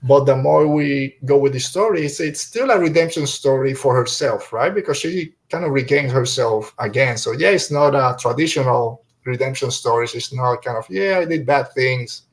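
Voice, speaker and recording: A man's voice: mid-range voice, normal speed, hardly any pauses. A man hosting a podcast in a casual, conversational way, talking things through and putting questions to guests. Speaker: podcast host. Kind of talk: casual podcast book discussion.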